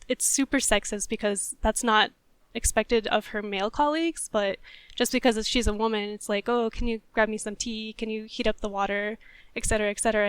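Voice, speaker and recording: A woman's voice: medium pitch, clear, resonant tone, no echo. A woman co-hosting a podcast, talking through a topic in a casual, analytical and thoughtful way. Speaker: podcast host.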